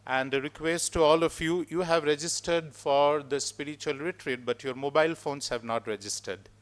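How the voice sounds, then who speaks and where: A man, hosting a spiritual retreat and giving a loud, measured, ceremonious introduction of the guest speaker in an ashram hall. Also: fairly high pitch, fairly even intonation. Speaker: host of the retreat.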